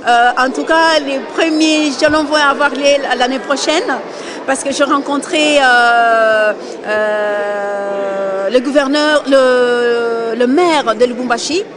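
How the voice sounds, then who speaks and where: A woman's voice: high-pitched, slow. A woman author answering interview questions hesitantly, with many fillers. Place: indoor book fair hall. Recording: busy crowd chatter behind.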